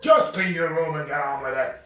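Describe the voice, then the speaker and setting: A man's voice: a little high, a little fast, a bit bright, close to an everyday voice. A man voicing a bossy, blustering, greedy dragon father who scolds his son in a homemade children's puppet show. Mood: bossy, scolding. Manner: scolding, exclamatory, swooping. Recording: very echoey room, distant mic.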